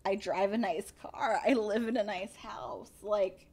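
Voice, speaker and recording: A woman's voice: mid-range voice, a little slow, darkish tone, breathy edge. A woman in her thirties talking casually and emphatically as a podcast co-host, in informal, conversational phrasing. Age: 30s. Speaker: podcast co-host, cannabis industry professional. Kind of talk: casual chat.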